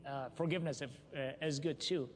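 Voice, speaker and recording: A man in his forties from Iraq, calmly giving a personal testimony in a recorded video, pausing briefly here and there. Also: a little high, background noise. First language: Arabic. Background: soft music.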